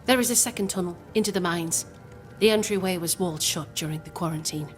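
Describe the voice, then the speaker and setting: Low-voiced, formal, resolute woman, acted. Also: lightly acted. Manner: formal, measured, deliberate phrasing. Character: composed, resolute woman plotting against a captain. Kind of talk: video game cutscene acting. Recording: prominent music underneath.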